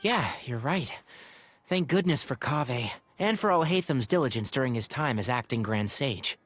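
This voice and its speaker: An easygoing young traveler in a video game, with a husky, lively voice. The delivery is casual, wry and decisive over soft music. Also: female voice, extremely low pitch, moderate pace. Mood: reflective.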